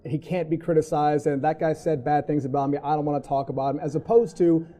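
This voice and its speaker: A man's voice: on the high side, steady tone, fast talker, dark tone. A man in his forties hosting a sports interview, setting up questions in a conversational style. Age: 40s. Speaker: sports show host, interviewer.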